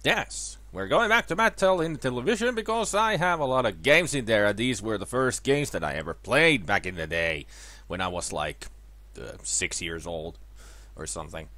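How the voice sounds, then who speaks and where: A man's voice: lowish voice, animated, moderate pace, bright, forceful tone, no echo. A man in his thirties with a sore throat, chatting casually over an old ski game as a let's player, rambling and correcting himself with bursts of cheering. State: sore throat, voice lower than usual. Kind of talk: casual gameplay commentary.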